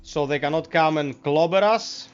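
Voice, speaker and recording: Greek guy streaming a game, relaxed and friendly, slightly high voice, kinda muffled mic. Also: moderate pace, clear, resonant tone. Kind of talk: casual gameplay commentary.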